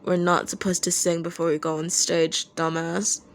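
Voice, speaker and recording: A woman's voice: lowish voice, level tone, normal speed, gravelly. A woman voicing a short-tempered male band singer in a fan fiction reading, with a brusque, bickering manner. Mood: scolding. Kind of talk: fan fiction audiobook character voice.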